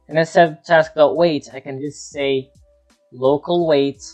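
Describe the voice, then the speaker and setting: A man giving an unscripted screen-share tutorial, talking through each step as he works and often repeating himself. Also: mid-range voice. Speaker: tutorial presenter.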